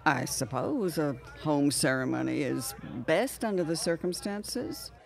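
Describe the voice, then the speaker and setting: A woman playing a haughty, barbed older mother-in-law, a 1910s society matron in an audio drama, imperious and deliberate. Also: sits low, expressive, vocal fry, darkish tone, some chatter behind, full character voice. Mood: disdainful. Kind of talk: period audio drama acting.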